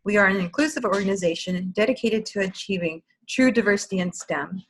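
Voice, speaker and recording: Husky-voiced woman hosting a webinar. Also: mid-range voice, brisk, low-quality recording. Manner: formal, reading from a script. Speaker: webinar host and program manager. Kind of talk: scripted webinar introduction.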